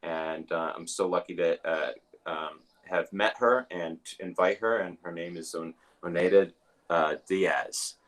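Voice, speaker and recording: A minister hosting an online service, giving announcements and introducing a guest in a halting way, with many filler sounds. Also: male voice, sits low, a bit bright.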